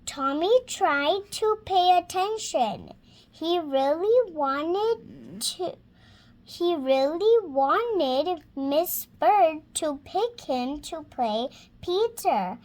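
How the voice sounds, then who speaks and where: A young girl of six reads a children's storybook aloud in a high voice. Her reading is very slow and hesitant, as she stops to sound out words. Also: lively intonation, darkish tone. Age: child, six years old.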